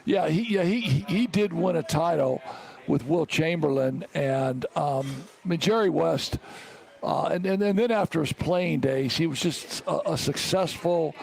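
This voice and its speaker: Middle-aged American man with a creaky, higher voice. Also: measured pace, a little mellow. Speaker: sports show host. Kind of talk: casual sports chat.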